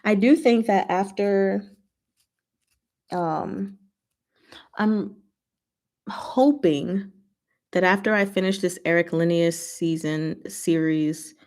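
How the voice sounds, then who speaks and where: Slightly low-voiced woman chatting casually on livestream. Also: slow pace, pauses often. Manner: animated, playful, slangy, talks to viewers directly. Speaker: livestream host and book reader. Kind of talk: casual livestream chat with viewers.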